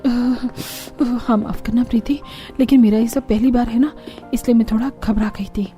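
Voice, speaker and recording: A woman's voice: fairly high pitch, fairly even intonation, moderate pace, mellow, faint music behind, natural voice. A woman voicing a timid, weary elder daughter-in-law in a cartoon story, hesitant and subdued. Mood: apologetic. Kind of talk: Hindi cartoon story character voice.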